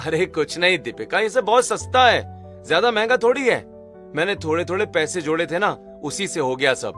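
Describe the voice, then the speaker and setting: A man's voice: high-pitched, expressive, normal speed, a bit bright, soft background music. A man playing a young husband in a cartoon story, a secret billionaire posing as a poor labourer. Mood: reassuring. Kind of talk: Hindi cartoon story acting.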